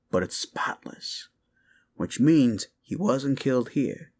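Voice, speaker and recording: A man acts out a folksy older male detective in an intimate audio roleplay. He speaks slowly, with a pitch that rises and dips freely. He rambles and seems absent-minded, yet stays politely, slyly probing. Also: full character voice. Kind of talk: roleplay ASMR audio drama.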